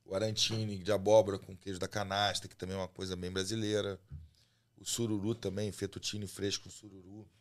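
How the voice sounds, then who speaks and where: A man from Rio de Janeiro with a Carioca accent, a chef chatting as a guest on a podcast in a relaxed, talkative way. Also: low voice, a little fast. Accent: Carioca Brazilian Portuguese. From Rio de Janeiro, Brazil. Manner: relaxed, chatty, often ends phrases with a tag question. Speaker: chef and restaurant owner, guest. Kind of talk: casual podcast interview.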